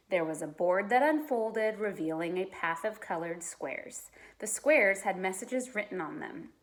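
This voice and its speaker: A woman narrating a children's storybook like a teacher reading aloud, with dramatic, deliberate emphasis. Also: mid-range voice, low-quality recording.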